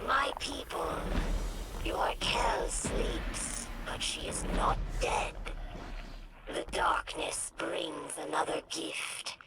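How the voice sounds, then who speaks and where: An actress voicing a zealous, menacing alien priestess in a video game, hissing and raspy, in ominous incantations. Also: female voice, deep voice, big pitch swings, exaggerated voice, poor audio. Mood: fervent, ominous. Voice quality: breathy, raspy hissing whisper. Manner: slow, ominous, incantatory proclamations. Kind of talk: dramatic video game acting.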